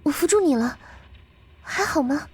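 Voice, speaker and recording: A woman voicing a gentle, playful young girl companion in a surreal game, speaking softly and unhurriedly with a caring, teasing manner. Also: high voice, animated, moderate pace. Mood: gentle concern. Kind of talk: visual novel game voice acting.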